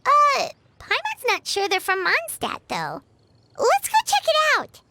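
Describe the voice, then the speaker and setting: Very high, squeaky fairy girl. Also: huge pitch swings, measured pace, noisy background, exaggerated voice. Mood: excited, boastful. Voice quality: squeaky, childlike. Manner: speaks of self in third person, exclamatory. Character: small excitable floating fairy girl companion, boastful. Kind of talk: video game dialogue acting. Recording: loud background music.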